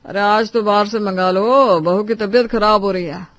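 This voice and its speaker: A man's voice: sits high, moderate pace, fairly bright tone, dry recording. A man playing a teasing, kindly middle-aged father-in-law in a dramatized family story. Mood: considerate.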